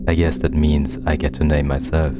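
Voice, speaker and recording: A man voicing an introspective, melancholy twin in a visual novel, narrating in a measured, confessional manner. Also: extremely low pitch, little pitch movement, heavy background noise. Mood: reflective, confessional. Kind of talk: scripted narration. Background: loud game music.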